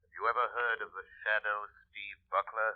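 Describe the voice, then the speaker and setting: An actor playing a mysterious crime-fighting man in an old radio drama, speaking calmly and with command. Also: fairly low pitch, natural voice. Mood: menacing. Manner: calm, measured, commanding. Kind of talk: 1940s radio acting. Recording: dull old radio broadcast.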